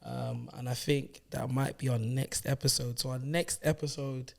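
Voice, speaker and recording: A man's voice: mid-range voice, steady tone, moderate pace, gravelly. Easygoing man hosting a podcast. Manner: easygoing interviewer, encouraging. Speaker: podcast host. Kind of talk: casual chat.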